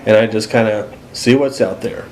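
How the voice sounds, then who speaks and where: A man's voice: fairly low pitch, steady tone, moderate pace, a little mellow, a little hiss or hum. A man with an American accent giving a casual, plain-spoken, unscripted walkthrough as a demonstrator, recorded indoors. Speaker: host demonstrating a radio. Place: indoors at home.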